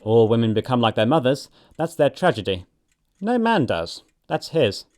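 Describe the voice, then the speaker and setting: Flippant English dandy with lively swooping intonation, acted by a man. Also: mid-range voice, moderate pace, lightly acted. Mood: arch. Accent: upper-class English. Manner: arch, playful, epigrammatic delivery. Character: witty, flippant, languid young English dandy. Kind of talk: stage comedy acting in an audiobook production.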